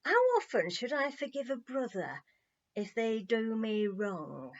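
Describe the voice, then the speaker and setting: A proud, needling fisherman from a children's storybook, acted out by a woman. The delivery is insistent and raised in pitch, on a slightly dull recording. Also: expressive, unhurried. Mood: smug, needling. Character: gruff, defensive adult male fisherman, proud and needling.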